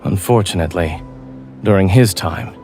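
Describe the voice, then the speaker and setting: Composed adult male doctor, acted by a man, very low voice, understated and measured, romance game dialogue, light music behind. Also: dark tone, natural voice. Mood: solemn, measured.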